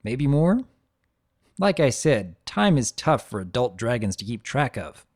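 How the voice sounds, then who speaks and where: A man's voice: mid-range voice, very animated, measured pace, darkish tone, no room sound, studio quality. A man playing an easygoing, avuncular adult dragon who tells his own story with wry, conversational asides. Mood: wry, musing. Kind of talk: first-person dramatic reading of fan fiction.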